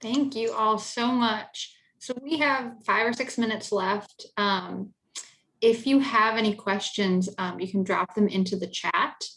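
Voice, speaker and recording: A woman's voice: medium pitch, a little slow, fairly bright tone, low-quality recording. A woman moderating an informal online panel discussion, chatting casually with many fillers and restarts over a video call. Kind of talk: casual virtual panel chat.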